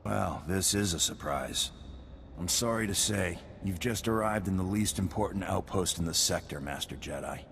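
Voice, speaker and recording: A man's voice: low-pitched, normal speed, gravelly, a bit bright. A man playing a weary, wry outpost officer in a video game. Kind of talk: video game cutscene acting. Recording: reverberant game audio, faint noise.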